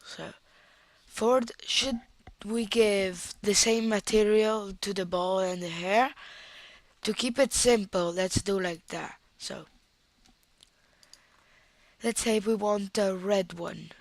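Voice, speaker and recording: Slow Italian woman with a cold. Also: medium pitch, fairly even intonation, no room sound. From Italy. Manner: unhurried, thinking aloud while clicking. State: has a cold. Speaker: software tutorial presenter. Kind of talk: screen-recorded software tutorial.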